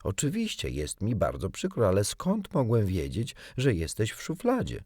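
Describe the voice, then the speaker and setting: A man voicing a cocky, cheerful, impatient young boy hero in a storybook, brisk and boastful. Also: lowish voice, wildly swooping pitch, warm tone, breathy edge, dry recording, clean studio sound, pitched above the performer's own voice, exaggerated voice. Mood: friendly, coaxing.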